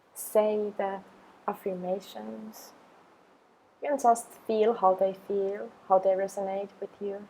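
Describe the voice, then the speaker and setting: A woman guides an affirmation meditation in a mid-pitched voice that stays very even. She places each phrase slowly and deliberately, leaving long pauses. A faint layer of ambient noise sits behind her. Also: rough recording.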